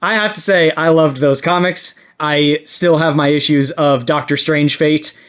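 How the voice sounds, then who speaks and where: A man's voice: a little high, fairly even intonation, a little slow, fairly bright tone, clear, resonant tone. A man hosting a casual panel podcast, talking in a nerdy, jokey way. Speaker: podcast host.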